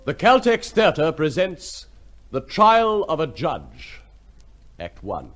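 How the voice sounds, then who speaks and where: Male radio announcer, 1950s style, formal, declamatory, raised pitch, sweeping intonation, music behind. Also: huge pitch swings, slow. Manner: declamatory, dramatic. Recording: vintage radio broadcast with music.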